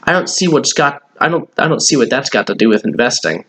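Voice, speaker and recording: A kindly old grandfather from a children's storybook, played by a man reading aloud. He is gentle and a little puzzled, speaking in an everyday middle register. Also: mid-range voice, very animated, brisk.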